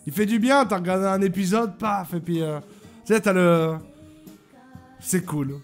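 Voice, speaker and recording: A man's voice: high-pitched, normal speed, music in the background. A man giving casual, crude-mouthed, exclamatory reaction commentary as a YouTube host.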